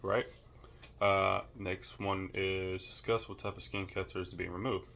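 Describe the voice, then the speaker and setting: A young man with a deep voice records a class assignment, talking casually and thinking aloud with many fillers. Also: little pitch movement, a little slow, vocal fry, bright, forceful tone. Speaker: student. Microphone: camera held or set nearby.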